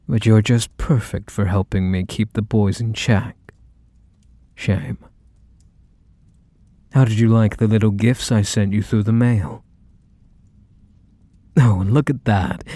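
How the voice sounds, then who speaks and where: Man acting a slow, low-voiced possessive mafia boss. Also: warm tone, no room sound. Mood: casually menacing. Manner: unhurried, mock-sweet, condescending pet names. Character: possessive, teasing, menacingly affectionate male mafia boss lover. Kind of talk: ASMR roleplay, intimate one-sided dialogue.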